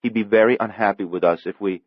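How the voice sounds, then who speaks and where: A man's voice: on the low side, steady tone, quick pace, no room sound, lo-fi sound, close to an everyday voice. A man voicing a bossy, protective girl of almost twelve, firm and earnest, in a storybook reading. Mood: earnest, consoling.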